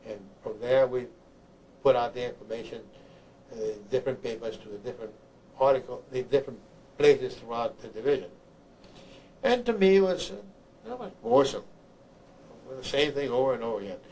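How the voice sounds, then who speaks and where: Halting older man, noisy recording. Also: medium pitch, animated, darkish tone. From Honduras. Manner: halting, repetitive, searching for words. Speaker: army veteran. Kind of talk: oral history recollection. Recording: clear background noise, room ambience.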